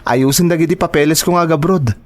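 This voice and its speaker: A man's voice: a little high, fast talker, natural voice. A man with an Ilocano accent playing an eager, trusting son in a radio drama. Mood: earnest, pleased. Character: eager, trusting adult son planning to work abroad.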